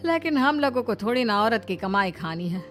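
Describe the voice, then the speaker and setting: A woman's voice: a little high, big pitch swings, normal speed, music in the background, voice pushed up. A woman voicing a long-suffering, caring older mother-in-law in a cartoon family drama, earnest and plaintive. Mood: sly, matter-of-fact.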